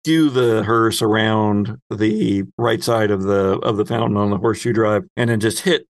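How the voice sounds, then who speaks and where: A man acting as game master, improvising descriptive narration of a tabletop game in halting phrases. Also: on the low side, dry recording, studio quality.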